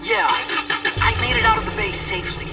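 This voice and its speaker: An actor playing an earnest, anxious, nerdy tech ally in a video game. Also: male voice, very high-pitched, big pitch swings, normal speed, creaky, recorded from a distance, over loud music, heavily acted. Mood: reassuring, wry.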